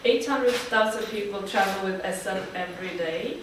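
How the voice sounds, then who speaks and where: A woman's voice: medium pitch, normal speed, distant mic, noisy background. Swedish woman presenting in an echoey hall. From Sweden. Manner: speaks with frequent uh fillers, friendly and plain. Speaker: student team presenter. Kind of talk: project presentation to an audience.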